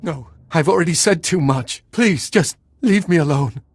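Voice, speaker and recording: An actor playing a terrified, cowering Sith acolyte held prisoner in a video game, nervous, stammering and pleading. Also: male voice, on the high side, expressive, moderate pace, slightly rough, dry recording. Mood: desperate.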